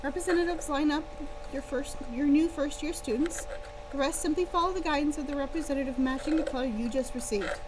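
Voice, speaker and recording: A woman reading a story aloud, voicing a calm, brisk and authoritative female school professor. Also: sits high, lightly acted. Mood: brisk, commanding. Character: composed, soothing adult female school professor. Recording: clear background hum and noise.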